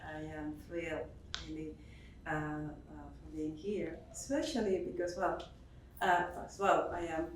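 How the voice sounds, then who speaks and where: A woman's voice: low-pitched, fairly even intonation, unhurried, slight background noise. A Cuban-born woman speaking as a professor on an academic panel, hesitant with many fillers and restarts. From Cuba. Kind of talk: spontaneous academic panel remarks. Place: seminar room. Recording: roomy echo, distant mic.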